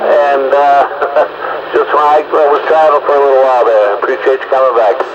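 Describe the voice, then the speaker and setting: A man with a mid-range voice calls in on a CB radio under very loud static. The sound is muffled, and he talks casually, halting and repeating himself. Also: medium pitch, fairly even intonation. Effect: two-way radio transmission. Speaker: CB radio caller.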